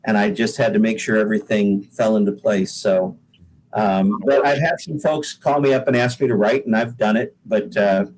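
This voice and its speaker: A man's voice: lowish voice, fairly even intonation, darkish tone, rough recording. A man talking casually as a singer-songwriter guest in an interview, often repeating words as he gathers his thoughts. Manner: halting, repeats words while thinking.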